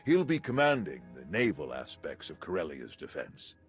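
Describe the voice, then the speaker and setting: An actor playing a commanding military general in a video game, authoritative and formal. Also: male voice, deep voice, huge pitch swings, moderate pace, soft background music, full character voice. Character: commanding, hospitable army general.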